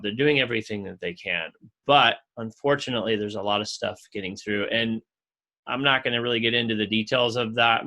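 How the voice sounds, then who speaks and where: A man's voice: on the low side, creaky, pushed and bright. A man working as a home contractor, answering interview questions in an earnest, enthusiastic storytelling way.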